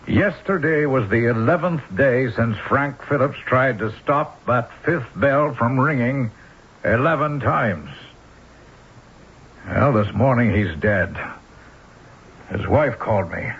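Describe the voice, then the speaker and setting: An actor playing a persistent, uneasy police inspector in an old-time radio drama. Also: male voice, medium pitch, slow, breathy edge. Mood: grave, insistent. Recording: dull lo-fi vintage radio broadcast.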